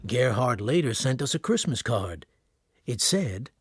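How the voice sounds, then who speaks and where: An actor playing a reflective war-veteran narrator in a radio drama, telling a grim story in a measured, understated, matter-of-fact way. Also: male voice, medium pitch, very animated, slight background noise. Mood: wry.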